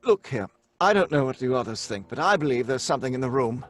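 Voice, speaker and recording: An actor playing a jittery, easily alarmed gentleman guest at a séance in an old-fashioned radio horror drama. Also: medium pitch, animated, quick pace, soft background music, natural voice. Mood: uneasy.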